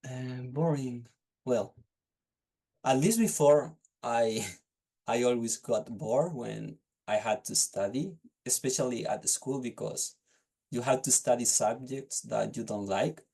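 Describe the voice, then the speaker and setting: A young man with a Chilean accent speaks slowly, in a low, gravelly, mellow voice. He pauses and restarts phrases as he gropes for words. He sounds like he is on a video call. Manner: hesitant, restarts phrases while searching for words. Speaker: test candidate. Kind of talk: unscripted speaking-test answers.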